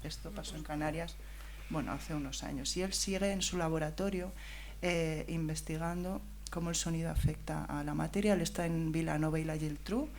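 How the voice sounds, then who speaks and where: A woman on a panel, walking listeners through her art project in a thoughtful, explanatory way. Her voice sits somewhat low, and a faint hum lies under the room. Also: fairly even intonation, fairly quick, a little mellow. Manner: explanatory, thoughtful, with light laughs. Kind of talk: round-table talk. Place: press room at a theatre.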